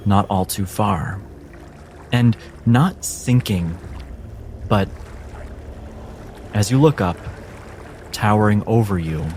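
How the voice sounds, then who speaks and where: A man with a lowish voice narrates as a game master, taking his time with deliberate pauses. The delivery is dramatic and suspenseful, with music underneath. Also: darkish tone. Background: background music and sound effects.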